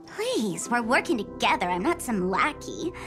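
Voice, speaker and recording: A woman's voice: a little high, big pitch swings, moderate pace. An actress playing a theatrical, teasing young trickster woman in an anime-style game, with a smug, sing-song delivery. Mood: sly, dramatic. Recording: music behind the voice. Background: game soundtrack music.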